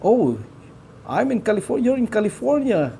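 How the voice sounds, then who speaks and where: A man hosting a casual live stream, talking to his viewers in a halting way with many fillers. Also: high voice, sing-song, vocal fry, mellow, slight background noise. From Philippines. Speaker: livestream host.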